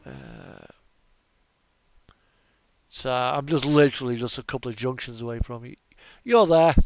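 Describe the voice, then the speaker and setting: A man's voice: mid-range voice, a little slow, a little mellow, slight background noise. A man chatting casually and offhandedly while playing a truck simulator game. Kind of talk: casual chat during gameplay.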